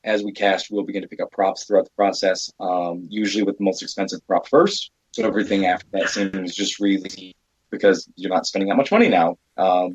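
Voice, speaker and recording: A man chatting casually as an interview guest about his work as an independent filmmaker, halting and often interrupting himself. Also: sits low, slightly rough, no room sound. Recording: dull lo-fi audio.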